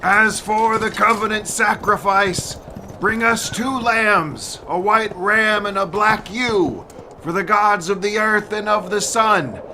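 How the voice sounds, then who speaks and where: A man's voice: high voice, slow pace, background noise. A man playing a proud warrior of ancient Greek epic, addressing armies in formal, declamatory oratory for an audio drama. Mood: grave, resolute.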